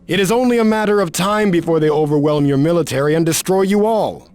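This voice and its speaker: An actor playing an honorable martial artist hero in a video game, speaking formally, earnestly and politely. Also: male voice, a little high, fast, a little mellow, smooth, natural voice. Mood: earnest, persuasive. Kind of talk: video game cutscene acting.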